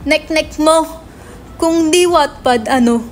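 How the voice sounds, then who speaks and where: A woman's voice: high-pitched, slow, a little hiss or hum. A woman playing a brash, foul-mouthed young male writer in a dramatic script reading, slangy and insistent. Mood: argumentative.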